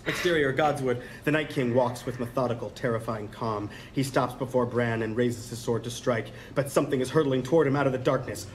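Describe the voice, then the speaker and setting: A man with a medium-pitched voice reads screen directions aloud in a dramatic, scripted style. The recording is a live room with clear background noise. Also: fairly quick, hardly any pauses. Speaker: script reader at a table read. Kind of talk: dramatic reading of stage directions.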